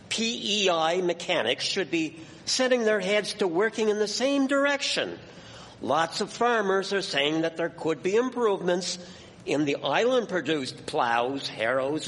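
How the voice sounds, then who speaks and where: A man's voice: fairly high pitch, a bit bright. A man playing an opinionated, folksy county man at an 1870s fair in a historical radio drama, recorded with long reverb. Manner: folksy, old-fashioned. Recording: long echoing hall reverb.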